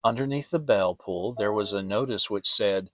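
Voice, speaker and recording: A man narrating a children's story as a dramatic audiobook, deliberate and expressive. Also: fairly low pitch, dry recording.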